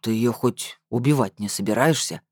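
A woman reader voicing a mighty, irascible male being with a rumbling, grumbling bass in a storybook. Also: normal speed, darkish tone, slightly rough, no room sound, clean studio sound. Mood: brooding. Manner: grumbling, brooding.

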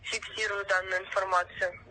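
A woman on a thin phone line speaks in a sharp, very bright tone. She runs through a formal call-center script as a fake bank officer, pushy and insistent. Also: mid-range voice, flat delivery, normal speed, a little hiss or hum.

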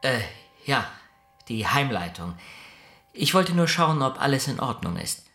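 A man's voice: medium pitch, sing-song, a little slow, bright, forceful tone, soft background music, heavily acted. A man playing a lovestruck care-home director in a radio play, insistent, intimate and floridly poetic. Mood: awkward, yearning. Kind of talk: German radio play acting.

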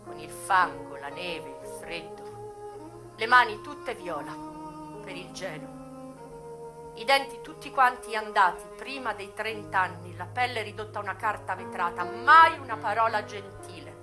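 Casting: woman playing bitter, frank American madam looking back on a hard youth; theatrical radio monologue, mid-pitched, emotive delivery, music underneath. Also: expressive, close to an everyday voice. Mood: weary, pitying. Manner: emotive, deliberate phrasing with sharp swings from hushed to loud. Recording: voice over music.

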